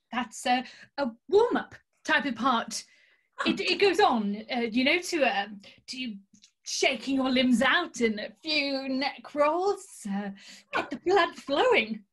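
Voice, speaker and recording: A woman's voice: sits high, big pitch swings, slow, fairly bright tone, heavily acted. A woman acting an eccentric, grandiose tarot reader in a comic stage scene, with pompous mystical pronouncements. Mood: flustered.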